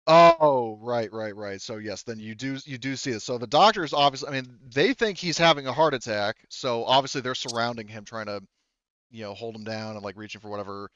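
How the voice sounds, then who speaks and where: A man with a clear, middle-pitched voice runs a tabletop roleplaying session. He improvises the narration in an informal, halting way and often corrects himself. The audio is dry and a little dull. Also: fairly bright tone, dry recording. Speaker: game master.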